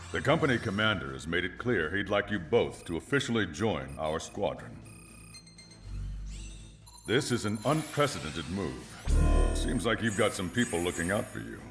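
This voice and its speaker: An actor playing a composed, authoritative air force officer giving a formal, measured briefing in a video game. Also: male voice, deep voice.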